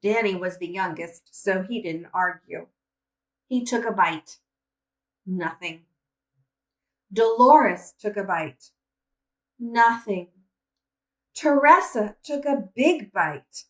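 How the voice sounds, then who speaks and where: A woman reading a children's story aloud as narration, with expressive, deliberate phrasing. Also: medium pitch. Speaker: storybook reader. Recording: roomy echo, distant microphone.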